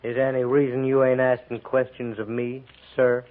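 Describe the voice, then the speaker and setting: A man's voice: mid-range voice, level tone, normal speed, natural voice. A man playing a brooding, sly prisoner recruit in a 1950s radio western, speaking in an insinuating drawl. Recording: tinny 1950s radio broadcast.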